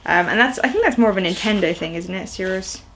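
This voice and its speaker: A woman's voice: mid-range voice, quick pace, fairly bright tone, a little hiss or hum. A young woman in her twenties chatting casually while unboxing at home on camera, rambling with lots of ums and uhs. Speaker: video host. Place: small indoor room at home, seated on a sofa.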